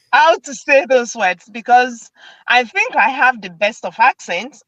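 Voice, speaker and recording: A woman's voice: on the high side, no echo, dull audio. A woman from Nigeria with a Nigerian accent, hosting a casual chat and laughing often. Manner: laughs often, animated and chatty. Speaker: host.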